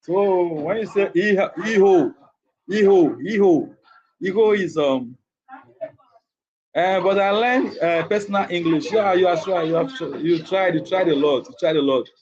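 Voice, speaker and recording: A man with a Nigerian accent hosts a relaxed livestream chat in a voice pitched a bit high. He says things again and again as he talks to viewers. The sound is muffled and lo-fi. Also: measured pace. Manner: repeats his phrases over and over, chatty. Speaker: livestream host.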